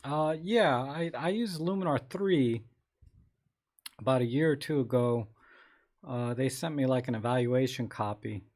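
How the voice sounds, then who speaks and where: A man hosting a casual live-streamed photography Q&A, reading viewer comments aloud and answering in a rambling, relaxed way with many restarts. His voice is a little scratchy today. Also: mid-range voice, unhurried. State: slightly scratchy voice.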